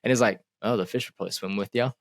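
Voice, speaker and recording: A man's voice: fairly low pitch, racing, gravelly, dry recording. A man in his twenties from Australia, telling stories in slangy casual chat. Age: 20s. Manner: storytelling, slangy.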